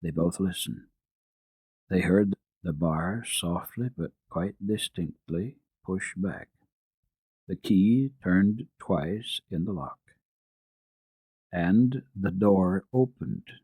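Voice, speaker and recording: A man's voice: sits low, slow pace, pauses often, mellow, slightly rough, no room sound, rough recording. A man reading a classic children's story dramatically, as a radio storyteller. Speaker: storyteller, radio program host.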